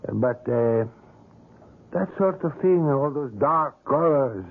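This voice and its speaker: An actor playing a sly, formally polite artist in a 1970s radio drama, with a husky voice and hesitant, precise phrasing. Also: male voice, mid-range voice, lively intonation, slow pace. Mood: polite, evasive. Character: mysterious, courteous, sly male portrait sculptor. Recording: muffled, narrow vintage radio broadcast.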